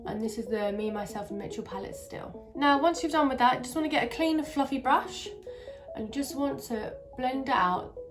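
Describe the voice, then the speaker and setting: Brief: woman vlogger, bunged-up nasal voice, a little high, chatty with music beneath. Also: moderate pace. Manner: chatty, many fillers. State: stuffy nose from a cold. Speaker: beauty vlogger. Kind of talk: casual makeup tutorial.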